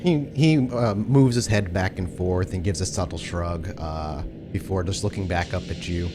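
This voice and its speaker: Casting: male game master, fairly low pitch, dramatic scene-setting narration over clear background music. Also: moderate pace. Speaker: game storyteller. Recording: prominent music behind the voice.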